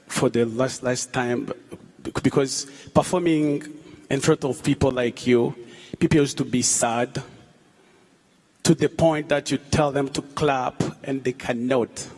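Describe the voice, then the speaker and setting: A Rwandan man in his thirties, a musician speaking on stage at a public event, halting, with fillers and repeated words. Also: mid-range voice, steady tone, darkish tone. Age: 30s. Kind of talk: onstage talk at a public event. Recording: long hall reverb.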